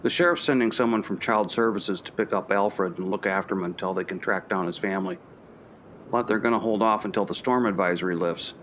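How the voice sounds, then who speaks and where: A man's voice: deep voice, fairly quick, a bit bright, slight background noise. A man playing an easygoing, considerate archaeology professor in a naturalistic radio play, conversational and reassuring.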